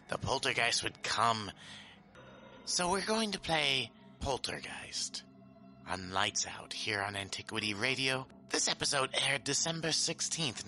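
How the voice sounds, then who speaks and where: A man's voice: medium pitch, bright, forceful tone, full character voice. A man voicing a gleeful, theatrical gravekeeper who hosts old horror radio shows in a campy style. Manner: theatrical, wildly swooping delivery. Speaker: podcast host introducing old radio episodes. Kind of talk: campy horror-host introduction.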